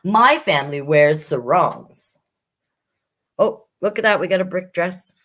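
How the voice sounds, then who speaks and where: A woman's voice: lowish voice, animated, a little slow, a bit bright. A woman hosting a children's storytime, reading picture books expressively with chatty asides to young listeners.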